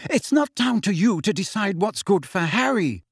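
Guy doing a bossy protective mom for an audiobook, high pitched n indignant, really emphatic. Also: very animated, normal speed, breathy edge, no room sound, clean studio sound, exaggerated voice. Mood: sharp, dangerous. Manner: emphatic, scolding. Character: stern, protective middle-aged mother, sharp and indignant. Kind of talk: audiobook character voice.

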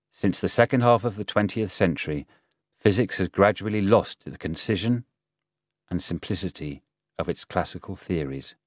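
A man voicing a serious theoretical physicist in an audiobook, explaining in a deliberate, measured way. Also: sits low, darkish tone, no room sound, studio quality, close to an everyday voice.

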